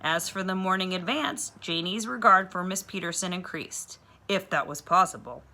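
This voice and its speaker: A woman reading a children's novel aloud with clear, expressive storytelling. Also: lowish voice, moderate pace.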